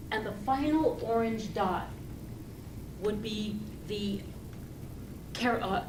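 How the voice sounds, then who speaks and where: A woman giving a rehearsed storytelling speech to an audience, speaking deliberately and expressively with pauses. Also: mid-range voice. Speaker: club speaker giving a tall tale speech. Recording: roomy, distant mic with loud noise.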